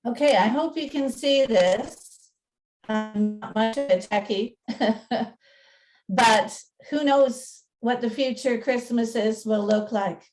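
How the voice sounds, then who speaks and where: Distant mic, woman, higher pitch, unhurried pace, chatty informal presenter, self-deprecating. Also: music in the background. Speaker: museum presenter and radio play narrator. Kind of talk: informal talk with slides and dramatic reading.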